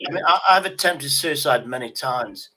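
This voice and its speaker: A man's voice: medium pitch, animated, moderate pace, pushed and bright, slight background noise. A man in his sixties chatting casually on a livestream, emphatic and often repeating his phrases. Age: 60s.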